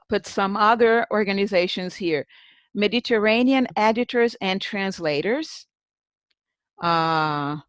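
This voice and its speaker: A woman's voice: mid-range voice, no echo. A woman presenting an informal webinar as host, conversational, thinking aloud and addressing attendees by name.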